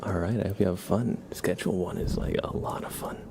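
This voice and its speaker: A man hosting an ASMR livestream, chatting casually in a soft, whispery murmur and gently repeating his phrases. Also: low-pitched, steady tone, brisk, gravelly, background noise.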